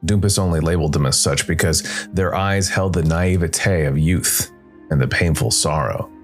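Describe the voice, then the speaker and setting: A man's voice: a bass voice, moderate pace. A man narrating a scripted fantasy tale dramatically as a storyteller. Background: music.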